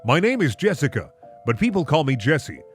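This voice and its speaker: An earnest, naive girl narrator read by a man in a male register tells an amateur story. The intonation is lively, with faint music behind. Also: a little high, a little fast. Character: naive, plain-spoken teenage girl telling her story.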